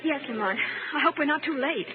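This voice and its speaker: High-pitched, refined, sympathetic young lady. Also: animated, fairly quick. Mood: concerned. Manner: earnest, exclamatory. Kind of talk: 1930s radio acting. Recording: tinny 1930s radio, crowd noise behind.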